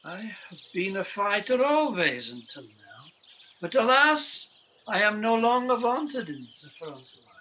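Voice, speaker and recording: Man acting a courteous, sly shopkeeper with bright voice. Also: high voice, sing-song, lo-fi sound, slight background noise, exaggerated voice. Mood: sly, conspiratorial. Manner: courteous, theatrical, halting. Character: odd, genial bird-shop professor secretly supplying saboteurs with bombs. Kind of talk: radio play acting.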